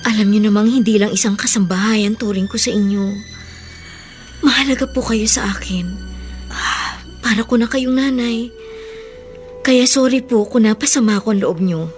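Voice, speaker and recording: A woman acting as a guarded young lady employer in a radio drama. Her voice is fairly high, firm on the surface and tender beneath, with soft music behind it. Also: normal speed, long pauses, lightly acted. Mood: tender, tearful.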